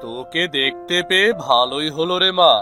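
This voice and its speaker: An actor playing a boastful, folksy village farmer uncle in a cartoon, hearty and self-assured. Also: male voice, fairly high pitch, normal speed, sharp tone, faint music behind. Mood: friendly. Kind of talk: cartoon voice acting.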